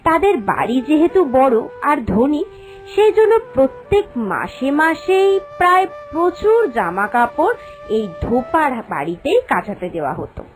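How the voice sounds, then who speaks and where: A woman's voice: high-pitched, big pitch swings, normal speed, soft background music. Woman narrating a cartoon story.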